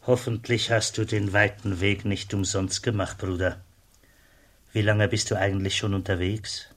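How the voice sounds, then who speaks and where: Kindly border guard for radio play: low husky voice, simple, familiar, devout. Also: male voice, little pitch movement, normal speed, fairly bright tone. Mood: curious. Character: kindly, plain-spoken, devout border customs officer. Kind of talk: German radio drama acting.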